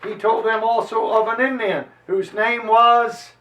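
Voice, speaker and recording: A man with a high voice reads history aloud as a dramatic performance. His delivery is emphatic and declamatory, with deliberate phrasing. He sounds some way from the microphone, in a roomy, echoing space. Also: level tone, dull audio. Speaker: reader and lecturer on history. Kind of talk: dramatized reading of a historical chronicle to listeners. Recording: roomy echo, voice distant from microphone.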